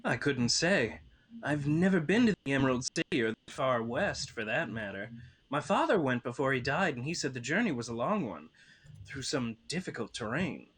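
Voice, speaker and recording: Man as a husky, stalwart tin woodman. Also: mid-range voice, big pitch swings, normal speed, fairly bright tone, rough recording. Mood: reflective. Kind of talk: table read of an audio drama.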